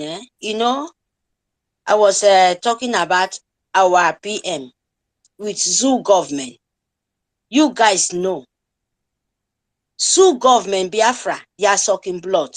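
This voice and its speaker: A Nigerian-accented woman speaking informally and emphatically in a group discussion, with Pidgin-inflected phrasing and repetition. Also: medium pitch. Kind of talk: impassioned informal testimony. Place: remote online group call.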